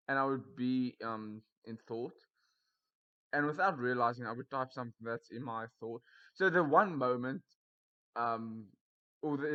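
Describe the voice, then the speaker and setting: A young man talks in rambling, casual commentary that breaks into loud disbelief. His voice is bright and forceful, and the sound comes through a muffled, lo-fi mic. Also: mid-range voice, clear, resonant tone. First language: Afrikaans. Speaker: YouTuber reviewing Reddit posts. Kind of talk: casual commentary reading posts.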